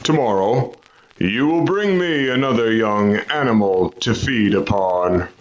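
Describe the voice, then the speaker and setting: An actor playing a cruel, commanding vampire in a radio horror drama, with drawn-out, menacing, theatrical delivery. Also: male voice, fairly low pitch, expressive, muffled recording. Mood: sinister taunting. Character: sinister gloating male vampire, cruel and commanding.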